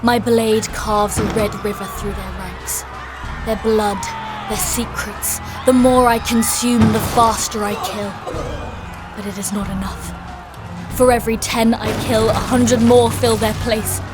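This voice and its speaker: Woman acting a slow, solemn warrior knight over music. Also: on the high side, fairly even intonation, lightly acted. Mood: desperate resolve. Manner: solemn, intense, deliberate. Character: fierce devout female warrior knight, grim and bloodthirsty. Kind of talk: dramatic first-person narration in a dark fantasy audio drama. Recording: voice over loud dramatic music.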